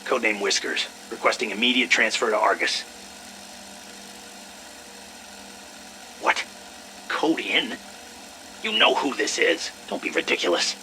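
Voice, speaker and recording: An actor playing an irritable, slippery scientist, sarcastic and foul-mouthed, in a video game audio log. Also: male voice, lowish voice, big pitch swings, normal speed, pushed and bright, a little hiss or hum, exaggerated voice. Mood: irritated. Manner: sarcastic, blustering, swearing.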